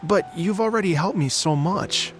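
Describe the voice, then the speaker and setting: Gracious, higher-voiced young travelling musician. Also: male voice, moderate pace, a bit bright, faint music behind. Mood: earnest. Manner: courteous, lyrical, earnest. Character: gracious, poetic young male travelling musician, earnest and dreamy. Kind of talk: video game character acting.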